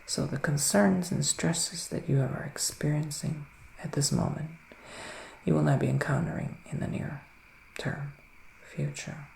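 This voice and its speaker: Male ASMR performer as a soothing forest witch: very slow, hushed delivery slipping into whispers, roomy echo. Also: on the high side, steady tone, creaky. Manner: unhurried, pausing between short phrases. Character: soothing, mystical male forest witch giving tarot readings. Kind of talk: ASMR psychic reading roleplay. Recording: roomy echo, faint background noise.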